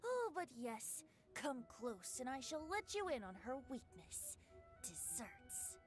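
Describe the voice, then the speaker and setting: A playful, androgynous young bard god from a video game. The voice sits a little high and talks smoothly and teasingly, with game music behind it. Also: female voice, big pitch swings, takes it slowly, full character voice. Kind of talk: scripted game character voice line.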